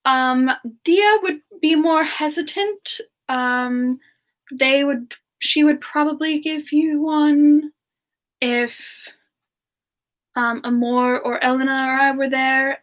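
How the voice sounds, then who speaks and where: A woman playing a sweet, affectionate young female frost demon, soft-spoken and hesitant, answering questions in a semi-ASMR live stream. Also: high-pitched, fairly even intonation, slow pace. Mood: gentle, chatty.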